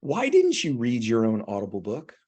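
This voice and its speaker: A man's voice: medium pitch, wildly swooping pitch, moderate pace, dark tone, breathy edge. A man hosting a podcast interview, speaking enthusiastically and complimenting his guest. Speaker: podcast host. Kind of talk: conversational interview.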